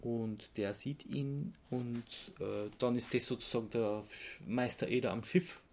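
A man co-hosting a casual podcast, halting and correcting himself as he recounts things and gives firm opinions. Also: mid-range voice, gravelly, a crowd in the background. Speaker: podcast co-host.

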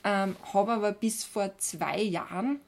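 A woman's voice: medium pitch, unhurried, vocal fry, a little hiss or hum. A woman with an Austro-Bavarian accent co-hosting a podcast in a casual, enthusiastic, exclaiming chat. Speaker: podcast co-host.

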